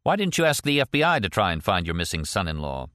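A man's voice: deep voice, big pitch swings, fast talker, bright, forceful tone, no echo, heavily acted. A man reading an audiobook plays a sceptical male ex-CIA detective with a dry, sardonic, reasoning manner. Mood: questioning.

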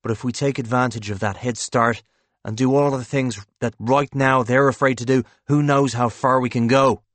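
Man voicing a brash, excitable banker, pitched up. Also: moderate pace, dry recording, lightly acted. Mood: eager, impatient. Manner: emphatic, impatient, evangelizing. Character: brash excitable male investment banker, foul-mouthed hustler. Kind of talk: audiobook character dialogue.

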